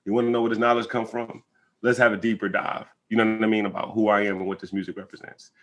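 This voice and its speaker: A man's voice: fairly low pitch, level tone, fast talker, lo-fi sound. An American man speaking as an interview guest, reflecting conversationally in an earnest, emphatic way and often checking in with his listener.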